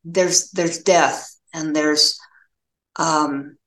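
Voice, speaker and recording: An older American woman giving reflective interview answers as a novelist, in a halting, thoughtful way with pauses mid-phrase. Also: sits low, steady tone, a bit bright, echoey room.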